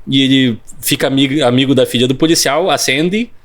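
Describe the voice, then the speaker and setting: A man's voice: mid-range voice, brisk, a bit bright. A man co-hosting a casual film podcast, reading a plot summary aloud with joking asides and occasional stumbles. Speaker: podcast co-host.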